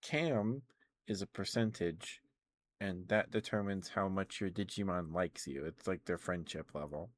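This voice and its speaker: Male ASMR streamer, low register, casual and rambling, hesitant delivery with frequent self-corrections. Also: unhurried, no room sound. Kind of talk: casual ASMR chat about video games.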